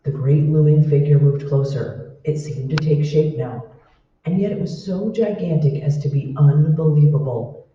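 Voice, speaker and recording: A man's voice: fairly high pitch, normal speed, mellow, a little hiss or hum. A man narrating a scripted radio drama in a dramatic storytelling style. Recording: echoey hall, distant, muffled.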